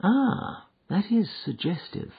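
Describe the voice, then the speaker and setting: A man voicing a shrewd, commanding Victorian gentleman detective in an audiobook, speaking in incisive, precise phrases. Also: high-pitched, sing-song, slow pace, creaky, breathy edge, voice pushed up, full character voice. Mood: inquiring. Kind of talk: audiobook character dialogue. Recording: muffled, thin phone-like audio.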